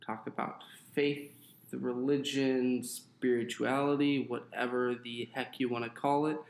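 A man's voice: medium pitch, flat delivery, measured pace, vocal fry, roomy sound, poor audio. A young adult man co-hosting a podcast, chatting casually and rambling a little with fillers. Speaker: podcast co-host.

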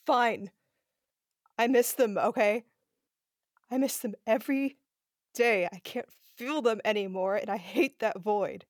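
A woman's voice: fairly high pitch, measured pace, pauses often, no echo, clean studio sound, lightly acted. A woman playing a guarded, stubborn young sniper weighed down by grief, in an audio drama. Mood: grieving. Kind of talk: audio drama narration and dialogue.

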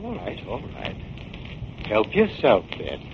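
Slow, sly crook, muffled old radio. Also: male voice, lowish voice, huge pitch swings, full character voice. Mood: fawning, nervous. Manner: wheedling, obsequious, then gloating. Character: sly, cringing, murderous male crook. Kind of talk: 1940s radio acting. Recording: muffled, noisy 1940s radio recording.

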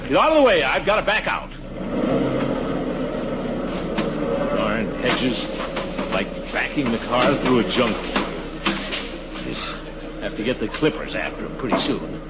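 An actor playing a blustering, self-pitying middle-aged commissioner and household uncle in 1940s radio comedy style, muttering and sputtering. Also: male voice, mid-range voice, sing-song, normal speed, pauses often, close to an everyday voice. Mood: grumbling. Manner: pompous, muttering asides and sputtering protests. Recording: muffled noisy 1940s radio recording.

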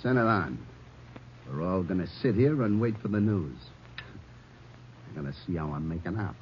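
An actor playing a menacing American gangster on the run in a 1950s radio crime drama, barking curt slangy orders and sardonic threats. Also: male voice, low-pitched, animated, normal speed. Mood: cold, grim. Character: menacing cop-killing gangster on the run, male. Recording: muffled, noisy 1950s radio recording.

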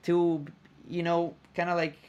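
A man hosting a podcast, chatting casually and warmly echoing his guest's words back. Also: a little high, level tone, unhurried, clean tone, dull audio. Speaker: podcast host. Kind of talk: casual interview chat.

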